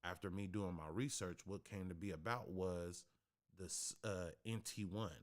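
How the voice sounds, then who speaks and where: Deep bassy guy on a studio mic at home, cussing a lot, blunt casual stream talk. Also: fairly even intonation, measured pace. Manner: profane, blunt, streetwise slang. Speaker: livestream host. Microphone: studio podcast microphone.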